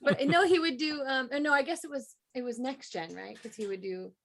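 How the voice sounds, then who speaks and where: A woman's voice: high-pitched, fairly quick, creaky, a bit bright. A woman co-hosting a podcast in casual, conversational chat. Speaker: podcast co-host.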